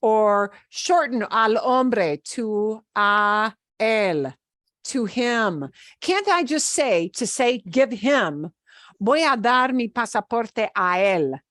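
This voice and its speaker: A woman teaching a class over a video call, with a Midwestern American accent. She talks slowly and patiently, pausing on fillers and restarting her sentences. Her voice sounds dry and close. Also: fairly high pitch, expressive, fairly bright tone, dry recording. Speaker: Spanish teacher. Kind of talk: online class lesson.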